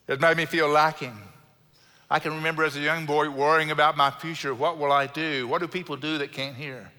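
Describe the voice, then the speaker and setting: Bright-voiced Texan pastor in a reverberant sanctuary. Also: male voice, on the high side. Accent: Texan, South Houston. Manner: earnest, slows and stresses key words, light self-deprecating humor. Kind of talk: sermon. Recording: long hall reverb from a church sanctuary.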